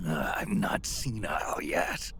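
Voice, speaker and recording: An actor playing a gruff, world-weary old soldier in a video game, with a gravelly American growl and a terse, dry, grumbling delivery. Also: male voice, very deep voice, takes it slowly, fairly bright tone, slight background noise, full character voice. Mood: grumpy, dry.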